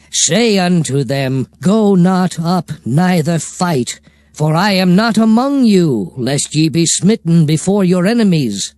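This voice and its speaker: Casting: male actor as grave Old Testament prophet, high, mellow voice, declamatory delivery for dramatized scripture over soft music. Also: no echo. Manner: solemn, declamatory, emphatic oratory. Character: Moses, solemn male prophet recounting God's commands to Israel. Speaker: Bible narrator. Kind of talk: dramatized King James scripture reading.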